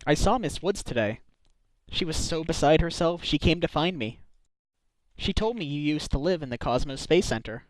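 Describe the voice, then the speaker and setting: A man voicing an earnest young male defense lawyer in a game fan dub, with a lively delivery. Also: a little high, moderate pace, no echo, close to an everyday voice, low-quality recording. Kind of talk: amateur game fandub acting.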